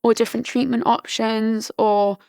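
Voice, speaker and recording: A woman in her early twenties from Britain, chatting casually as a podcast guest, full of "like" fillers and restarts, her voice slightly hoarse. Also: on the high side, little pitch movement, normal speed, darkish tone, no room sound. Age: early 20s. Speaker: podcast guest, charity founder. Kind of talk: casual interview chat.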